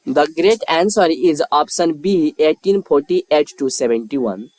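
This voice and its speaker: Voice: medium pitch, a little slow, darkish tone, dry recording. Dry, close-recorded quiz narrator. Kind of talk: scripted quiz narration, question and options read aloud.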